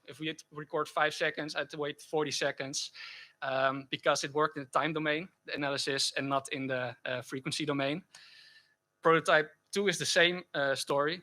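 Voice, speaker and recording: A man giving a technical conference talk and answering questions, speaking haltingly with frequent self-corrections. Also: fairly high pitch, sharp tone. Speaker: conference speaker presenting a project.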